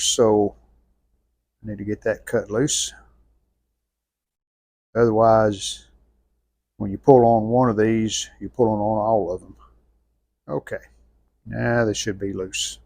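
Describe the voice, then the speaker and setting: American man, lowish pitch, heavy vocal fry, folksy hands-on repair narration, plainspoken, thinking aloud, dry close recording. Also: fairly even intonation, slow pace, pauses often, a little mellow, dry recording. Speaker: host of a radio restoration channel.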